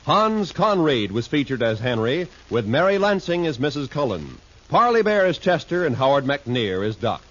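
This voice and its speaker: Animated American man, vintage radio announcer. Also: mid-range voice, normal speed. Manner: formal, declamatory. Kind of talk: 1950s radio announcing, scripted credits. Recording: muffled old radio recording.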